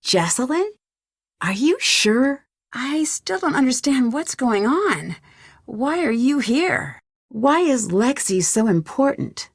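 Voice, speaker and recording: A young American woman voicing an earnest, curious and polite amateur detective in an adventure game, with clearly enunciated lines. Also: high voice, sing-song, slow, dry recording, clean studio sound, full character voice. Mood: earnest questioning. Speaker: voice actor for a game's detective heroine. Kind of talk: scripted adventure game dialogue.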